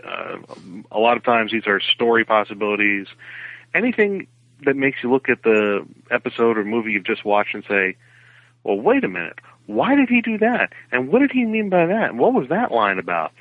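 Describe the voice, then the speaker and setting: Low-voiced man on a phone-like line. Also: big pitch swings, normal speed, no echo. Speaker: novelist guest. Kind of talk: interview answers, explanatory. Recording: phone-like narrow band, dry.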